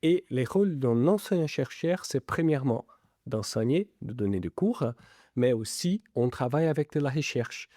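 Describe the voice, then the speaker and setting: A man with an Italian-Brazilian accent, speaking French as a second language, answers questions as a business school lecturer. His voice is mellow and dark, recorded dry and close, and he halts often on fillers while searching for words. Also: mid-range voice, lively intonation, moderate pace, dry recording. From Italy and Brazil. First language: not French. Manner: hesitant, many fillers. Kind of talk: interview answers.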